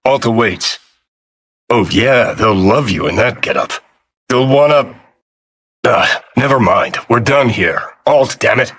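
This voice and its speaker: A man voicing a cynical, abrasive rebel in a video game, with a gritty rasp and a sardonic, commanding way of talking. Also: mid-range voice, animated, takes it slowly, fairly bright tone, exaggerated voice. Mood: impatient, sardonic. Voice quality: raspy, gritty.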